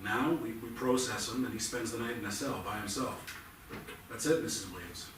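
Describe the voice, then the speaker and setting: A man's voice: on the low side, normal speed, natural voice. A man in a staged play reading, playing a police detective who speaks in a firm, blunt, procedural way. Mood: matter-of-fact. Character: firm, matter-of-fact male police detective. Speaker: actor. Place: theatre stage. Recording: echoey theatre, distant mic, noisy background.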